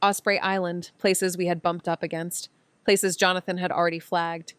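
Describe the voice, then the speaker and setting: Casting: actress as eager, rambling woman detective, bright lively delivery, dry studio sound. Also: lowish voice, clear, resonant tone, no echo, natural voice. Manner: excitable, rambling, quick to exclaim. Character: curious excitable young woman sleuth. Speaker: amateur investigator, Amy. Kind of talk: audio drama acting.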